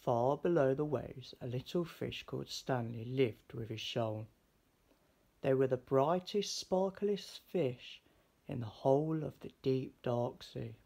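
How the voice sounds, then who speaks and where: A man reading a children's picture book aloud in an expressive storytelling style. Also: medium pitch, slow, creaky. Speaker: storybook reader.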